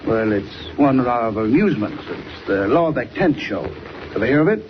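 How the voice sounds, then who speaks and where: A man's voice: mid-range voice, big pitch swings, normal speed, close to an everyday voice. An actor playing an earnest middle-aged male air-transport manager in a 1940s radio drama. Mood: sober, explaining. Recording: noisy, muffled 1940s radio serial recording.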